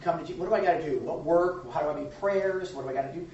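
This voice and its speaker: A man's voice: on the high side, rapid-fire, a little mellow, far from the mic. A pastor speaking in a conversational way to a small group. He asks questions and laughs between points. The sound is dull and lo-fi, taken from across an echoey room with a faint hum behind. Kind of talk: informal Bible study teaching.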